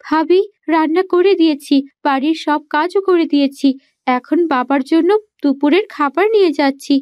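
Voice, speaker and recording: A woman's voice: sits high, moderate pace, dry recording, highs cut off, natural voice. A woman playing a meek, obedient young village girl in a cartoon fairy tale, polite and submissive. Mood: dutiful.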